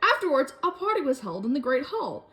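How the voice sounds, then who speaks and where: A woman telling a children's story from a book in an expressive way. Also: sits high, a little fast, soft background music. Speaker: storybook reader.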